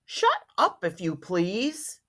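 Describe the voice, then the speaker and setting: A woman's voice: mid-range voice, huge pitch swings, slow pace, a bit bright, voice pushed up, exaggerated voice. A woman reading the part of a greedy, brash male tradesman in a storybook, boastful and brusque.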